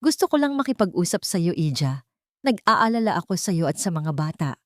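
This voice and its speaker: A woman voicing a wealthy elderly mother in a radio drama, gentle in manner with a hidden coldness. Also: on the low side, very animated, brisk, no echo, clean studio sound. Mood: falsely sweet. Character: wealthy elderly mother, sweet-talking but coldly scheming. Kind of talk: Filipino radio drama acting.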